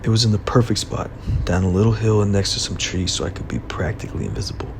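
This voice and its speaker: A man acting an anxious young runaway graduate, rumbling background. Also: vocal fry, full character voice. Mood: confiding, rueful. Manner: confiding, halting, uneasy, breaking into hushed asides. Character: anxious young male college graduate on the run, recording a confession. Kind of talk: first-person audio drama monologue. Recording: noisy, steady vehicle rumble behind the voice.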